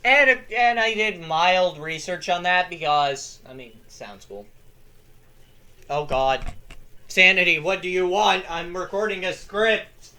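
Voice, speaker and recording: This young man narrates lore from a script in a relaxed, slow-paced way. He gets excitable and swears freely. He often breaks into loud yelling when a story grips him. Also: sits high. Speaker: lore video host.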